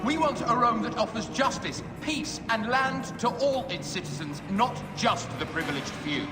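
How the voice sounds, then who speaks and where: An actor playing a righteous, indignant Roman senator in a video game, speaking like an orator. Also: male voice, very high-pitched, animated, moderate pace. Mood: righteous challenge. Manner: oratorical, declamatory. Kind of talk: dramatic video game cutscene acting. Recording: echoey hall, music behind.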